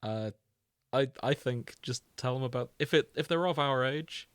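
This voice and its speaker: Young guy co-hosting a podcast, medium pitch, wry teasing banter, really dry close sound. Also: moderate pace, a bit bright, clear, resonant tone, no echo. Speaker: podcast co-host. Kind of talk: casual banter.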